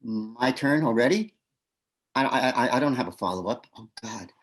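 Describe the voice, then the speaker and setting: A man's voice: mid-range voice, expressive, moderate pace, close to an everyday voice. An actor playing a shy, nervous man who stammers and coaches himself through small talk, in a stage comedy. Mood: flustered. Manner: flustered, stammering, self-coaching. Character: shy nervous man named Pete at a gallery. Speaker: actor in a one-act play. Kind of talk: stage comedy inner monologue. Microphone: video call.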